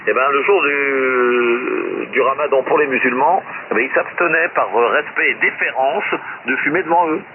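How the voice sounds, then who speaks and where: A man talking casually and with opinions over amateur shortwave radio, in a rambling, colloquial way. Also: mid-range voice. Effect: shortwave ham radio transmission. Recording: noisy narrow-band shortwave radio link.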